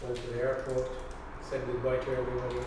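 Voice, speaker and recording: Male, 50s, Iranian accent, native Persian speaker, reflective documentary interviewee; heavy room echo and clear background noise. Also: mid-range voice, completely flat delivery, fast talker. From Iran. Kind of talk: reflective conversational recollection. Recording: distant mic, very echoey room, clear noise.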